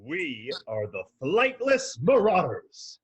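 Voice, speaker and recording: A man acts out a penguin gang boss who gives orders and threats. The voice is husky, with lively swings in pitch, in the style of cartoon radio comedy. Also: takes it slowly, exaggerated voice. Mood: menacing. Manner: commanding, threatening. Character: menacing penguin leader of a flightless bird gang.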